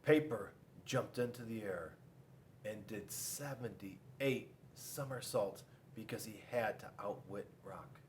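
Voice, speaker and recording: A man reading a children's storybook aloud in an animated style, with dramatic emphasis and drawn-out words. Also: lowish voice, a little hiss or hum. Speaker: police school resource officer reading to children.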